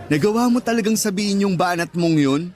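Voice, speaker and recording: An actor playing a teasing best friend and kitchen coworker, mocking and jokey, in a Tagalog radio drama. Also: male voice, high voice, animated, moderate pace, a little mellow.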